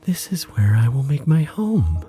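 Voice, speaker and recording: Gentle storybook star played by a man, curious, loving, tentative, pitched well above the narration, softly mellow. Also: wildly swooping pitch, unhurried, soft background music. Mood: gentle, polite. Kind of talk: bedtime storybook character voice.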